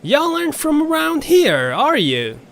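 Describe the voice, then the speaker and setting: A man's voice: very high voice, wildly swooping pitch, unhurried, creaky, fairly bright tone, heavily acted. A man voicing a polite, folksy creature who captains a ferryboat, with a Southern US accent and genteel manner, in an audio story. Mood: concerned. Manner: courteous, apologetic, genteel.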